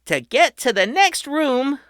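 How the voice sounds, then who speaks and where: A woman voicing an excited young boy who shows off his inventions, calling out like a showman. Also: slow pace, no room sound, clean studio sound, exaggerated voice. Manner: shouty and showman-like, booming announcements.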